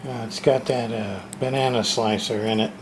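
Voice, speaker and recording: A man's voice: mid-range voice, steady tone, normal speed, gravelly, noisy background. A man casually narrating a hands-on unboxing as a radio repair hobbyist, talking to himself in folksy short fragments.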